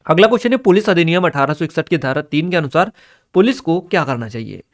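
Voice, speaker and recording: A man with a somewhat high-pitched voice teaches for an exam. He reads each question out from his notes, then explains the answer in an instructional manner, now and then checking that listeners follow. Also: expressive, fast. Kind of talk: exam paper analysis lesson, reading out questions and explaining answers.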